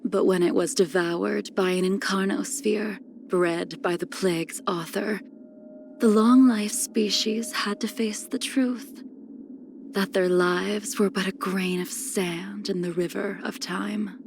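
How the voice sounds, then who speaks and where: An ageless, melancholy swordswoman from a video game. She speaks slowly and formally in a mid-pitched voice with a creaky edge. Her phrases are cold and solemn, often sinking into a breathy near-whisper. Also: steady tone, a little hiss or hum. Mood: wistful. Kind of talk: scripted video game character lines.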